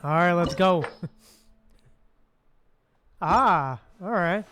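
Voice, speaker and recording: A man's voice: high-pitched, animated, drawn-out words, sharp tone, faint music behind. A man giving casual gameplay commentary as a streamer, murmuring to himself and often chuckling. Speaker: streamer playing a game.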